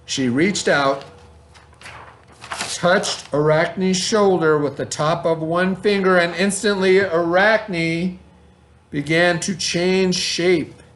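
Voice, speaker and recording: Guy teacher reading to kids, informal, asks them stuff, voice a bit on the high side. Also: fairly even intonation, takes it slowly, a bit bright, a little hiss or hum. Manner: informal, questioning, talks directly to pupils. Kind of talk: children's read-aloud and lesson.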